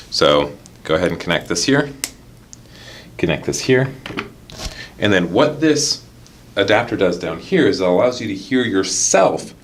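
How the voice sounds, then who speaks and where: A man with a low voice presents an informal product tutorial. He explains each step directly to the viewer in a conversational way. The recording has noticeable ambient noise behind him. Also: fairly bright tone. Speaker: product demonstrator.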